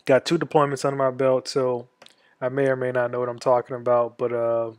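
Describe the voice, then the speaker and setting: A man in his twenties from Florida, an army veteran walking viewers through a tutorial in a casual, halting way, stuffed up from a cold. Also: medium pitch, monotone, darkish tone. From Florida, USA. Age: 20s. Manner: halting, many ums and restarts. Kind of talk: casual screen-share tutorial.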